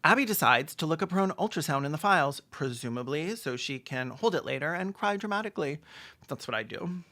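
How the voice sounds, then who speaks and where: A snarky video essayist with a mid-pitched voice, doing comedic film commentary. The delivery is camp and deadpan, full of sarcastic asides. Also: brisk.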